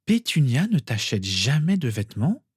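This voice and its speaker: An emotional, warm-hearted storybook mother, acted out by a man, raised well in pitch. She questions and exclaims emphatically, in a dry, close recording. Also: animated, a little fast, no room sound, close to an everyday voice. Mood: indignant, probing.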